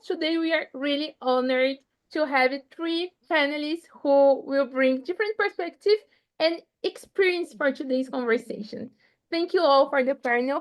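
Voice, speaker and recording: A woman with a Portuguese accent and Portuguese as her first language, hosting an online panel over a video call in lively, non-native phrasing. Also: high-pitched. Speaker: event host.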